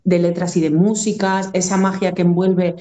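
A woman's voice: fairly low pitch, level tone, moderate pace, mellow. A woman from Aragón with a northern Spanish accent, talking in a casual, reflective and discursive way as a musician being interviewed. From Aragón, Spain.

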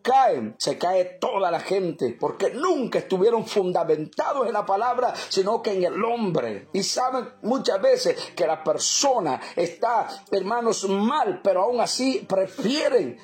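A man's voice: high-pitched, expressive, measured pace, hardly any pauses. A man from El Salvador preaching a fervent sermon, often calling out to his listeners as brothers.

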